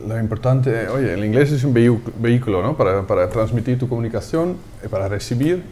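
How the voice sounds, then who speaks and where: A Dutch man speaking with a Dutch accent, a guest in a TV studio interview, relaxed and searching for words as he explains. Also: medium pitch, slight background noise. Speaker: guest, company CEO.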